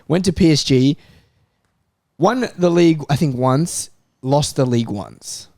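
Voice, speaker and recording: A man's voice: on the high side, animated, measured pace, vocal fry, warm tone, dry recording, clean studio sound. A man speaking as a football panellist in a casual debate, building an argument point by point.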